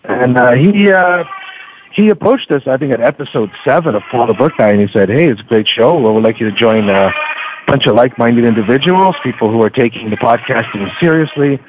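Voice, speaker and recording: A man's voice: medium pitch, expressive, brisk, a little hiss or hum. Chatty man on dull radio audio. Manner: chatty, hesitant with frequent ums and filler phrases. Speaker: podcast host being interviewed as a guest. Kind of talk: conversational radio interview.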